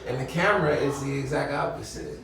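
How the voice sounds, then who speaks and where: An American man from Louisiana giving a casual, reflective and wordy interview in a gravelly voice, often checking that he is understood. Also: mid-range voice, fairly quick, over music. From Louisiana, United States. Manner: reflective, wordy, often checks with "you know what I'm saying". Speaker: interview guest, artist and videographer. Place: echoey indoor mixer event. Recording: roomy echo, distant mic, crowd chatter.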